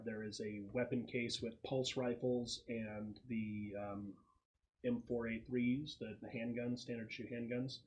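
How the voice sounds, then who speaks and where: A man running a casual tabletop role-playing game as game master, explaining the setup with halting pauses. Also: medium pitch, a little mellow, low-quality recording.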